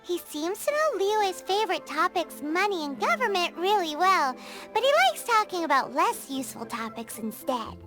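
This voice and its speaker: Game fairy sidekick: very high, squeaky, childlike, excitable, highly animated. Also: female voice, normal speed, full character voice. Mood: chatty. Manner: refers to self by name, exclamatory. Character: small excitable fairy companion girl, chirpy and curious. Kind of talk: video game cutscene dialogue. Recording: music behind the voice.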